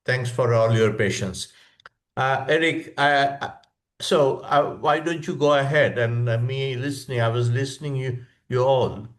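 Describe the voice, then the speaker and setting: An older man from Bangladesh with a Bangladeshi accent, speaking in a halting, reflective way as a guest presenter on an online webinar. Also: mid-range voice. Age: 60s or older. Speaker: professor of palliative medicine, guest speaker. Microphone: online video call.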